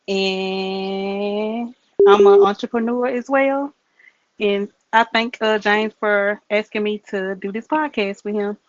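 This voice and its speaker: A woman of thirty-one, a guest on a casual group podcast, easygoing and quick to laugh. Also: mid-range voice, muffled recording. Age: 30s. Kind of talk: casual group chat on a call.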